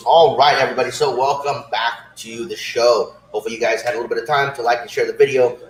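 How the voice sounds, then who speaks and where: A man co-hosting a cigar show, slightly hoarse, chatting casually and rambling with many fillers. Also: mid-range voice, steady tone, quick pace. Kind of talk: casual livestream chat. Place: cigar shop lounge. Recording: roomy shop with faint ambience.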